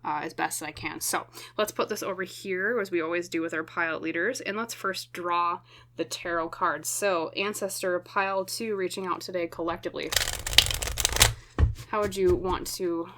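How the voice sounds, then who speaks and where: A woman giving a pick-a-card tarot reading, speaking in a gentle, reassuring way with a slightly scratchy voice. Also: lowish voice, fairly even intonation. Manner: gentle, slow, reassuring, with many fillers and tag questions. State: scratchy voice from smoke. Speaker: tarot reader.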